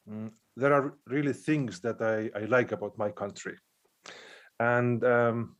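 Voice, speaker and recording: Guy, pastor, panel talk, careful and halting, lots of pauses, average pitch. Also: darkish tone. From Estonia.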